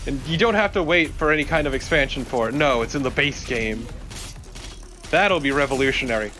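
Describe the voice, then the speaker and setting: A man's voice: medium pitch, brisk, pushed and bright, faint music behind. A man co-commentating on a video game in a casual, dry, mock-serious way. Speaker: let's play co-commentator. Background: game sound.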